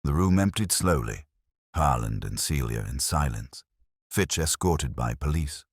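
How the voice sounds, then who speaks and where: A very low, clean-voiced man narrates a detective mystery as an audiobook. His delivery is dramatic, with lively swings in pitch, and the sound is dry and close. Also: unhurried, slightly rough, no room sound.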